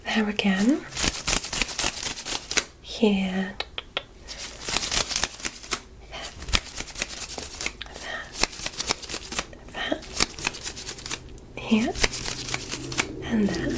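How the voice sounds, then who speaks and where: A woman playing a curious, gently condescending alien medical examiner in an ASMR roleplay, speaking in a soft, breathy, measured whisper with clinical instructions. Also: medium pitch, very noisy. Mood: curious, soothing. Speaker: ASMR performer.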